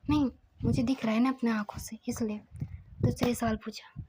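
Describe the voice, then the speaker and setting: A woman voicing a hushed, teasing grandmother. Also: a little high, steady tone, moderate pace, breathy edge. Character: elderly grandmother, teasing and sharp-eyed.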